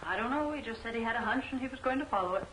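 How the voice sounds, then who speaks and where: A polite, efficient young female secretary in an old film serial. She rattles along very fast. The vintage track is roomy with faint hiss. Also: fairly high pitch, expressive, a bit bright. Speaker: actress in a crime film serial. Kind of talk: 1940s film serial acting. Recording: echoey, hissy old film soundtrack.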